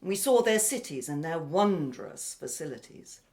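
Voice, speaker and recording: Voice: medium pitch, lively intonation, measured pace, darkish tone, lightly acted. An actor playing a precise, haughty, educated foreign exile in a radio play, with formal, pedantic phrasing. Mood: explanatory.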